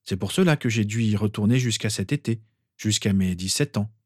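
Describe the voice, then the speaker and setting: A man's voice: lowish voice, a little fast, darkish tone, no echo, close to an everyday voice. An earnest teenage wizard from a storybook, played by a man, a little awkward and hesitant as he explains. The recording is dry. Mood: explaining. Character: earnest, awkward seventeen-year-old wizard boy.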